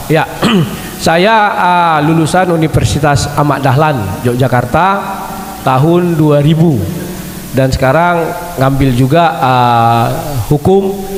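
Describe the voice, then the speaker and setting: A middle-aged man with a cold introduces himself as a debater, speaking emphatically and clearing his throat often. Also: a little mellow, slight background noise. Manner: emphatic, rising pitch, frequent throat clearing. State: has a cold, coughing. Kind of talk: self-introduction at a live debate. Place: large echoey hall. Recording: echoey hall with long reverb.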